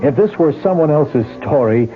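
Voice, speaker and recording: Radio drama lieutenant, male actor: slightly high voice, doubtful, insistent interrogator, narrow telephone-like sound. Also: animated, close to an everyday voice. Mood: pressing, doubtful. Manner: probing, insistent, halting when thinking aloud. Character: skeptical, methodical male police lieutenant. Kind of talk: 1970s radio drama acting. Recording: phone-like old radio broadcast, music behind.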